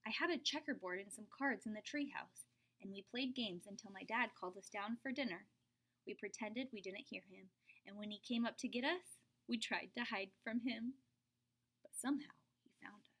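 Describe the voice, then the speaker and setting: A woman reading a children's picture book aloud, expressive, dropping to whispers for suspense and pitching up for the child's lines. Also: normal speed. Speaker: storybook reader.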